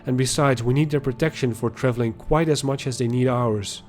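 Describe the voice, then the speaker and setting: A man voicing a young female dark elf thief in a storybook reading, speaking in a cool, dismissive way. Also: mid-range voice, fairly quick, faint music behind, natural voice.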